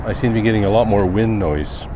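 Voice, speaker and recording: A man giving a musing, unhurried and wry vlog monologue while out on the sidewalk. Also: on the low side. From Canada. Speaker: daily vlogger. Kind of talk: reflective walking vlog monologue. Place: outdoors on quiet sidewalks. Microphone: handheld camera with wind muff. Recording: outdoor street noise, passing cars, wind.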